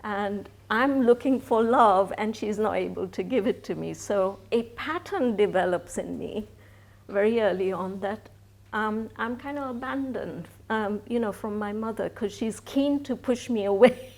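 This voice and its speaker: A woman's voice: mid-range voice, measured pace, a little mellow. A woman in her sixties, a guest in a live stage interview, telling her life story in a chatty way with frequent restarts and laughter. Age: 60s.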